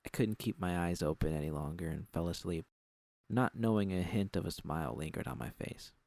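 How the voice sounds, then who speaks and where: A man with a gravelly voice reading first-person fiction as an audiobook narrator. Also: low voice, a little fast, a little mellow, dry recording.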